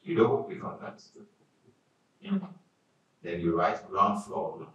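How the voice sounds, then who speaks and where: Low-voiced male instructor in echoey room. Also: takes it slowly, a bit bright, distant mic, highs cut off. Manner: directive, repeats short commands. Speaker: design instructor. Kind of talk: classroom software tutorial. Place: classroom. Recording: echoey room with faint rumble.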